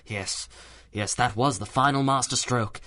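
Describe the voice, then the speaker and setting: A man's voice: medium pitch, unhurried, sharp tone. A man playing a polite, fretful older inventor in a radio drama, speaking in a genteel, hesitant, insistent way. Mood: intrigued. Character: polite, fretful, inquisitive older inventor, an old-fashioned gentleman.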